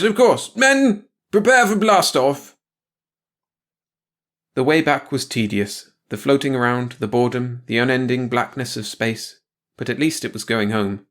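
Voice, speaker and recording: A man's voice: medium pitch, fairly bright tone. Man narrating a short story. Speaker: audiobook narrator.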